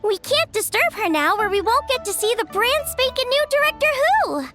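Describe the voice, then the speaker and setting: Anime game role: bubbly, caring teenage girl, very high and lively, music behind. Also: normal speed. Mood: playful. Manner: bubbly, breezy, youthful. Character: perky, friendly teenage girl, caring festive friend. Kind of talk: anime video game voice acting.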